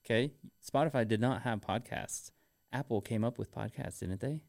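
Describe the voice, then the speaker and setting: A man co-hosting a podcast in casual banter, with a teasing, informal manner and halting phrasing. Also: mid-range voice, gravelly, a little mellow. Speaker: podcast co-host.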